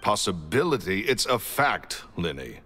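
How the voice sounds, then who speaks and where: A wealthy, irritable former magician in a video game. The voice is low and booming. Every phrase comes out curt and impatient. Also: male voice, very animated, measured pace, bright, forceful tone, slightly rough, faint music behind, exaggerated voice. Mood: impatient, dismissive. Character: gruff, short-tempered wealthy retired male magician businessman.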